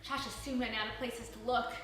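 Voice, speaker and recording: A woman narrating a children's storybook in an expressive, animated, dramatic way. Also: on the high side, brisk. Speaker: storybook reader. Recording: echoey room, distant mic, faint music.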